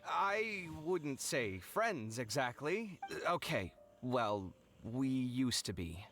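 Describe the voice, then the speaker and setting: A man's voice: mid-range voice, big pitch swings, slow pace, fairly bright tone, slight background noise, exaggerated voice. A man playing a young, touchy, dramatic scholar in an anime fantasy game, fretful and easily flustered. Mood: sheepish. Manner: theatrical, indignant, fretful.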